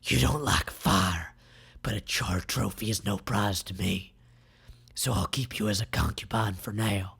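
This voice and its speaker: A man voicing a huge, intimidating, crude male quarter-orc in a slow, menacing way, for a comic read aloud. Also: lowish voice, creaky, a bit bright, breathy edge, pitched below the performer's own voice, heavily acted. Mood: menacing gloating.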